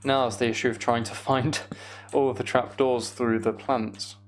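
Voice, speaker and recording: A man streaming a game, chatting casually and thinking aloud in an unhurried way as he plays. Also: mid-range voice, flat delivery, a little hiss or hum. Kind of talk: casual gaming livestream chat.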